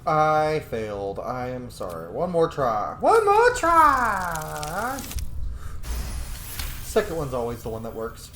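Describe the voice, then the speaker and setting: A man's voice: fairly high pitch, huge pitch swings, slow, pushed and bright, slight background noise. Offhand joking male gamer, roomy sound. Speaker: gaming video host. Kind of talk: casual let's play commentary with in-character roleplay.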